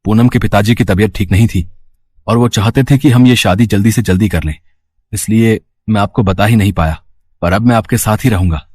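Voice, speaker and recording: A man voicing an earnest young married son with a husky voice, placating and persuasive, in a cartoon moral story. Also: on the low side, fairly quick, darkish tone, lightly acted. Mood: apologetic.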